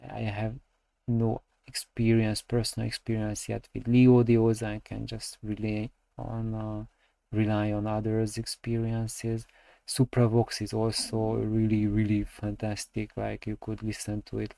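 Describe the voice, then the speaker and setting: Low, slow-talking man, halting and unscripted. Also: gravelly, warm tone, no room sound. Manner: hesitant, halting delivery with repeated words. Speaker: audio review channel host. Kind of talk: unscripted technical talk, opinion and advice.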